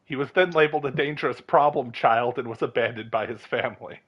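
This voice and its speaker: A man's voice: medium pitch, fast, a bit bright, lo-fi sound. A young man giving casual, playful gameplay commentary in a rambling, incredulous way. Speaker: game streamer.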